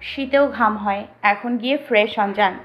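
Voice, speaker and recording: A woman playing a modest, gentle young wife in a romantic audio story, polite and earnest. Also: medium pitch, normal speed, lightly acted, poor audio. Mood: nervous, questioning. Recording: music behind the voice.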